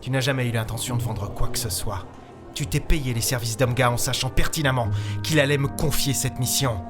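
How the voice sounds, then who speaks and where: A man's voice: mid-range voice, a little fast, natural voice. A man playing a world-weary, bitter starship captain in an audio drama, speaking in short, weighty phrases. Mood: cold, accusing. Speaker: lead character and narrator. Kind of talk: dramatic audio fiction narration. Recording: music behind the voice.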